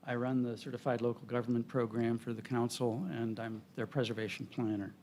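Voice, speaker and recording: A man in his fifties speaks softly and in measured phrases. His voice sits at an ordinary mid pitch. He presents as a visiting planner at a city board meeting. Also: little pitch movement, a little hiss or hum. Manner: soft-spoken, measured. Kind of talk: prepared presentation. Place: council meeting room.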